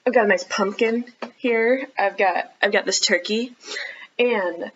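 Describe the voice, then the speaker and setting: A woman's voice: medium pitch, a little hiss or hum. Woman hosting children's storytime, slightly muffled. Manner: child-directed, asking questions and pausing for answers. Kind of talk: read-aloud storytime for young children.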